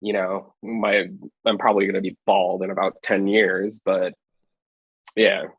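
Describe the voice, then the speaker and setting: A man's voice: low voice, little pitch movement, a little slow, gravelly, husky, dry recording, lo-fi sound. Chatty, rambling man from Hawaii. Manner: chatty, rambling, full of fillers. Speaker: podcast guest, street photographer. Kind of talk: casual podcast chat.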